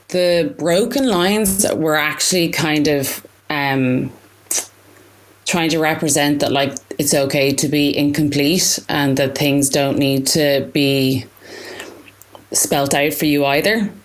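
A young woman, an artist, answering interview questions in a reflective, halting way with many fillers and restarts. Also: low voice. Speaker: artist guest.

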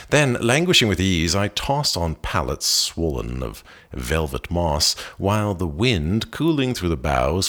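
Very deep-voiced man reading verse slowly. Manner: measured, expressive reading with lively rises on exclamations. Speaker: poetry reader. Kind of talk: poetry reading.

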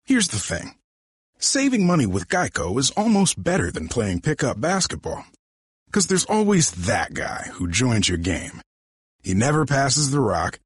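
A man reads a comic advertisement with playful, jokey timing. His husky voice swings over an extremely wide range. The sound is dry and close. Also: medium pitch, wildly swooping pitch, unhurried, dry recording. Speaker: ad announcer. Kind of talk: scripted comic ad read.

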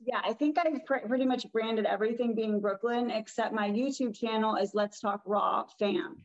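A woman with a raspy voice, chatting as a guest in an interview, rambling and restarting her sentences. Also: fairly high pitch, moderate pace, highs cut off. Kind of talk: casual interview talk.

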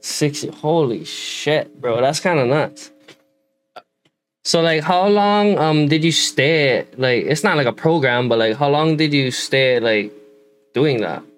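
Laid-back slangy host. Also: male voice, a little high, animated, slow, low-quality recording. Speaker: podcast host. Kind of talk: casual interview chat.

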